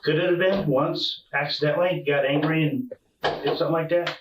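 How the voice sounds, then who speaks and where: An investigator asks calm, steady questions from well away from the microphone. The echoey bodycam audio carries clear background noise. Clicks sound now and then. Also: medium pitch, a little fast. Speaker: police investigator. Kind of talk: police interrogation. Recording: echoey, distant, noisy body camera audio.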